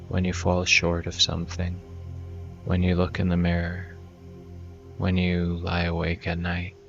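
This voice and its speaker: Very deep, slow-speaking male meditation guide. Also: gravelly, a bit bright, highs cut off.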